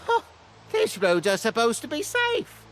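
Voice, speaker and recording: Indignant, animated stranded merchant. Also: female voice, fairly high pitch, unhurried. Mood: indignant, pleading. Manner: exasperated, theatrical complaining. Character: indignant, self-pitying, wheedling stranded merchant. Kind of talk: video game character acting.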